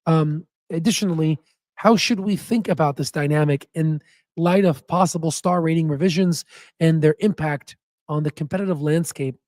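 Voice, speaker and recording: A man hosts his stock market show with a hoarse, nearly spent voice that sits a bit higher than most men's. His tone is dark and mellow, and the sound is dry and close with no room around it. He rambles casually through his points, full of fillers and restarts. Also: normal speed, dry recording. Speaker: stock market channel host. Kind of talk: casual chat and stock analysis.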